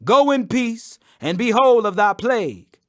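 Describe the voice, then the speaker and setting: A commanding yet compassionate holy healer voiced by a male narrator speaks high and clear of purpose. Each phrase is declared with deliberate, authoritative emphasis and wide melodic movement in this scripture reading. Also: animated, a bit bright. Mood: tender, firm.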